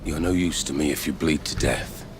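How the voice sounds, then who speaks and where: A man's voice: extremely low pitch, steady tone, normal speed, noisy background. A man playing a grim, blunt warrior in a video game, terse and cold in his delivery. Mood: cool, practical. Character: grim, blunt warrior freed from prison, deep-voiced man. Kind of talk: video game cutscene acting. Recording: loud music over the voice.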